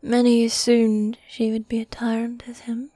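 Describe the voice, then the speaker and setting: A woman voicing a needy, dominant goth demon girlfriend in a soft, breathy, coaxing whisper, in a medieval fantasy ASMR roleplay. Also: fairly high pitch, completely flat delivery, dry recording, close to an everyday voice. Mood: hushed storytelling. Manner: affectionate, coaxing, drawn-out genteel phrasing.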